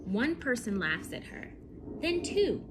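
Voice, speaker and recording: A woman reading a picture book aloud to young children, telling it expressively with deliberate phrasing and dramatic pauses. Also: a little high, very animated, background noise.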